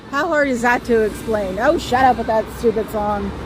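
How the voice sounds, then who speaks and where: A woman with a high voice hosting a casual livestream outdoors, rambling and sarcastic as she talks straight to her listeners. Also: noisy background. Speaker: livestream host.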